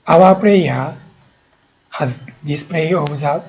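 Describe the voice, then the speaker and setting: Muffled, very slow, creaky-voiced man. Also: a little high, a little mellow. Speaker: student presenter. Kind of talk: prepared presentation summing up a student project. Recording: dull, lo-fi recording.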